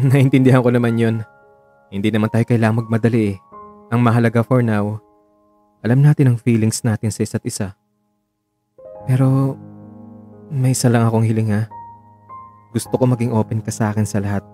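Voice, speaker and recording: Radio drama boyfriend, acted by a man: eager, tender, conversational, dark soft tone over light music. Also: mid-range voice, fairly even intonation, brisk, pauses often, close to an everyday voice. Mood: tender, reassuring. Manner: gentle, reassuring, conversational Taglish.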